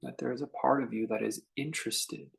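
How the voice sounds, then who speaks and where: Creaky-voiced man guiding meditation. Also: medium pitch, steady tone. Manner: contemplative, unhurried, with long pauses between short phrases. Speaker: meditation teacher. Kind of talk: guided meditation talk.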